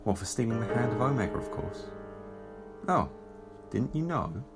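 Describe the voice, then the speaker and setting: A man playing a smug, menacing executioner and schemer in a science fiction audio drama, with a gravelly voice. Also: medium pitch, very animated, moderate pace. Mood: sly, knowing. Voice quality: gravelly, creaky. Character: calculating, menacing male executioner Time Lord, smug schemer. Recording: clear music behind. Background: music.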